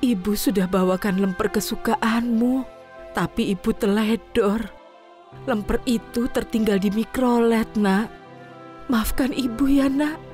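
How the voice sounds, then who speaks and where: A woman voicing a loving older mother in an audio drama, speaking in a soft, tearful, pleading way. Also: a little high, unhurried, soft background music, lightly acted. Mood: apologetic.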